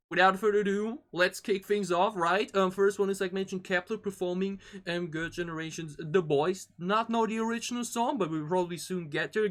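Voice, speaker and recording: A man hosting a reaction video, giving casual, enthusiastic commentary in an excitable, exclamatory way. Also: sits high. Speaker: YouTube reaction host. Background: music.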